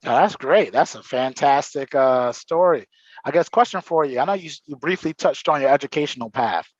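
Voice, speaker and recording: A man's voice: on the high side, moderate pace, dry recording. Effusive male host, dry muffled sound. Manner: effusive, stacks up praise. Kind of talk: enthusiastic interview hosting.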